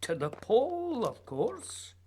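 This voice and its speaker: A man's voice: very high voice, huge pitch swings, takes it slowly, darkish tone, a little hiss or hum, full character voice. A man playing a whimsical, childlike playwright in a radio play, musing and teasing in a theatrical way. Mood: eager, rousing. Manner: theatrical, musing, playfully urging.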